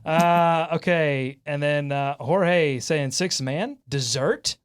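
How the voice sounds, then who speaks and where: A man hosting a casual sports talk show, bantering with fans and co-hosts in an excitable, animated way. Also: fairly high pitch, takes it slowly, clean tone. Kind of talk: casual sports fan banter with comments and highlights.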